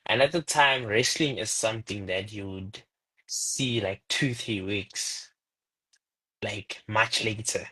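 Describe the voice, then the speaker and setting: A man in his twenties from Zimbabwe, a guest telling stories in a casual interview chat over a video call, with big lively pitch jumps. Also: takes it slowly, breathy edge, poor audio. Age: 20s.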